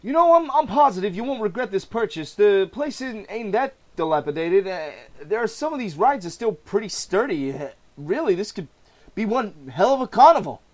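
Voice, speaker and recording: An ingratiating male amusement park owner, acted out by a man reading a comic. He is hesitant and eager, pitched a little high. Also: big pitch swings, moderate pace.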